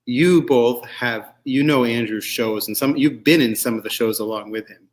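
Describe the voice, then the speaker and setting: A man's voice: mid-range voice, normal speed. A man hosting a casual community interview show, bantering and often restarting his sentences as he goes. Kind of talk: casual, joking interview chat.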